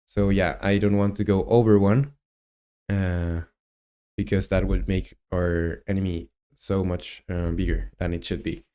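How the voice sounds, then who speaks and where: A man in his twenties with a Chilean Spanish accent, talking through his coding on a live stream, halting and thinking aloud with many fillers. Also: deep voice, flat delivery, warm tone, clean tone. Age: 20s. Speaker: game developer streamer.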